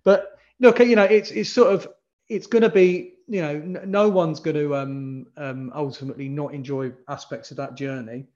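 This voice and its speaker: A man's voice: a little high, fairly even intonation, normal speed, darkish tone, lo-fi sound. A man talking as a podcast guest in a casual conversation, emphatic and blunt, often restarting his sentences. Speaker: podcast guest, fan engagement consultant.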